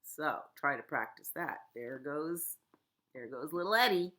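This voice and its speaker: A middle-aged woman at home, a children's librarian talking gently and in a sing-song way during a storytime. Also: sits low, expressive, unhurried, slight background noise. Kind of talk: storytime reading and chat for children.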